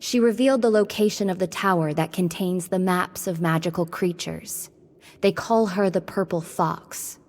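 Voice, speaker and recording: A woman's voice: lowish voice, moderate pace, lightly acted. An actress playing a determined, earnest witch rebel in a video game cutscene. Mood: urgent, resolute.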